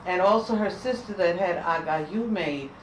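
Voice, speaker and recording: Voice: mid-range voice, normal speed. An elder speaking in a group conversation, chatty, interjecting and repeating phrases. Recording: echoey room, distant mic, noise.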